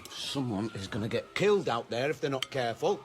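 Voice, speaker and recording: An actor playing a gruff, impatient father in a naturalistic radio drama, with a sardonic, blunt manner. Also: mid-range voice, sing-song, brisk, very noisy, lightly acted.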